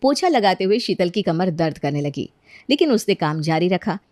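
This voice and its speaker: A woman's voice: on the low side, sing-song, moderate pace, darkish tone, no room sound, clean studio sound. A woman narrating a scripted cartoon bedtime story as the storyteller.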